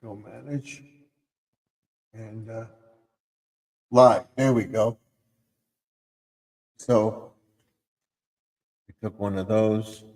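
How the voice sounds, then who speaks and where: Slow, scratchy-voiced man streaming live. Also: lowish voice, fairly even intonation, darkish tone, in a large hall. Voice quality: rambling, self-interrupting delivery. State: scratchy, recovering throat. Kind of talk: casual live stream chat. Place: home room.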